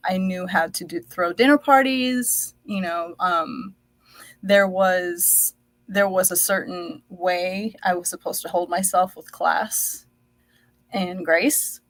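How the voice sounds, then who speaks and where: A woman born in the United States whose first language was Dutch, reflectively telling her life story as a guest, with many pauses and fillers. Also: fairly low pitch, fairly even intonation, dry recording.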